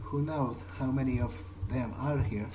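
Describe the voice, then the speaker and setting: A man's voice: mid-range voice, measured pace, noisy background. A man talking to himself in character as he plays through a video game. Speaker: gamer role-playing a character. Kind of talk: in-character game playthrough commentary, talking to self. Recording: loud game music behind the voice. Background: game music.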